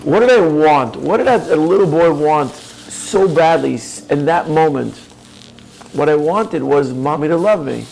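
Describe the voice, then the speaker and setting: A man's voice: fairly high pitch, expressive, a little slow, creaky, darkish tone, a little hiss or hum, poor audio. A man giving a reflective coaching talk, earnest and probing, speaking directly to the listener. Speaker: speaker on personal growth.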